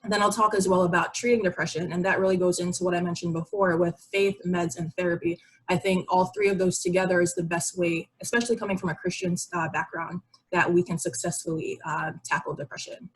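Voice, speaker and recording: A woman's voice: fairly low pitch, steady tone, fast talker, breathy edge, low-quality recording. A young woman giving an informal, explanatory educational presentation in a conversational way over a video call. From Barbados. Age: young adult. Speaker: psychiatry resident giving a presentation. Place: online video meeting with shared slides.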